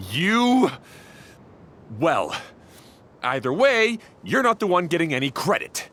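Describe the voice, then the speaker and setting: A man's voice: a little high, huge pitch swings, slow, a bit bright, slight background noise, full character voice. An actor playing a smug, bickering male rival worker in a video game, sardonic and defensive.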